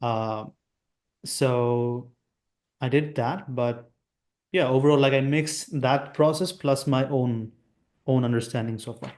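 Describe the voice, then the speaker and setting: A man's voice: mid-range voice, steady tone, takes it slowly, long pauses, darkish tone. A man talking shop on a casual business call about his roofing agency. He hesitates often, trailing off with fillers and starting sentences over. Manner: hesitant, many fillers and restarts.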